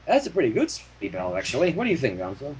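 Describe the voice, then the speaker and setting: A man's voice: medium pitch, huge pitch swings, rapid-fire. A man reading fan fiction as a narrator with casual asides, on a slightly noisy home recording.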